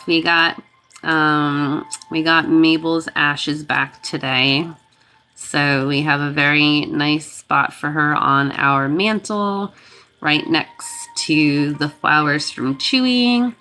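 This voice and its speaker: Sniffly woman chatting slowly on a livestream. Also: low voice, fairly even intonation, sharp tone, slight background noise. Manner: chatty, thanks viewers by name, laughs often. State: congested from a cold, sniffly. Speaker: reiki healer hosting a livestream. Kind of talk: casual chat greeting live viewers.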